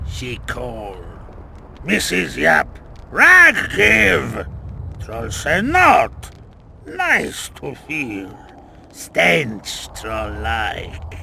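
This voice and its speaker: An actor playing a big, dim-witted, childlike male troll in a video game, growling in broken caveman grammar with halting short phrases. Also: fairly high pitch, bright, forceful tone, a little hiss or hum, exaggerated voice. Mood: grumbling. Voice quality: gravelly, growling. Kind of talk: video game character acting.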